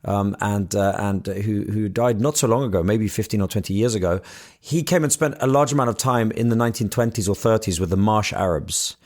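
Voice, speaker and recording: A man's voice: lowish voice, fairly quick, darkish tone. A British man hosting a podcast interview in a polite, conversational way with hesitant phrasing. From Britain.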